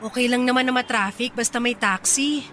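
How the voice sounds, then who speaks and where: High-pitched irritable young Filipina boss, played by an actress. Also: female voice, fairly quick, a bit bright, faint music behind, natural voice. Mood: exasperated. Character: proud, easily irritated young female office boss, bashful underneath. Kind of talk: radio drama acting in Taglish.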